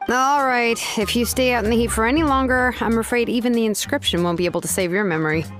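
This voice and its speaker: An actress voicing an easygoing, wry young craftswoman in a game dub, talking in a relaxed, dryly teasing way over soft game music. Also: a little high, fast, natural voice. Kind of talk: dubbed game dialogue.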